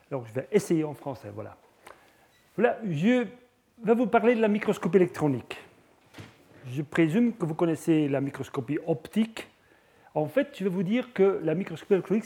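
A middle-aged man with a Flemish accent gives a scientific talk. His voice sits a bit high yet sounds mellow and soft-edged. He speaks haltingly as a non-native speaker, pausing on fillers and restarting his phrases. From Belgium (Flanders). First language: Dutch (Flemish). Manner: hesitant, many fillers and restarts. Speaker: lecturer. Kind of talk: scientific lecture with slides.

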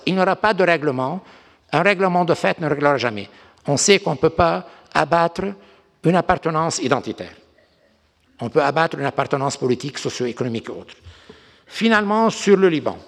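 Older man in a reverberant hall. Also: fairly high pitch. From Lebanon. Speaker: diplomat giving a talk. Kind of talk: unscripted geopolitical lecture with anecdotes.

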